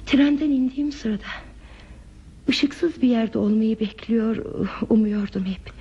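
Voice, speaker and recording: An actress playing a troubled, reflective woman traveler in a radio play, with deliberate, poetic phrasing and a breathy voice. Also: on the high side, mellow, exaggerated voice. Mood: wistful, reflective. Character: pensive, troubled woman traveler stranded at a remote station. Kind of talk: Turkish radio theatre acting. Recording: muffled old radio drama recording.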